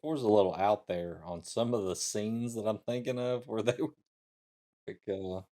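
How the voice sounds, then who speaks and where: Man, middle-aged, fairly low pitch, relaxed-format chat, co-host. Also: moderate pace. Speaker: talk show co-host. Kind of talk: casual chat.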